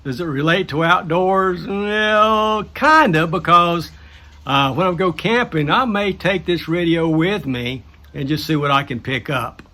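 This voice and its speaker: Higher-pitched man, folksy explainer. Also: measured pace, bright, forceful tone. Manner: folksy, plain-spoken, colloquial grammar. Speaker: host of a do-it-yourself radio build series. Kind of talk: casual explainer vlog.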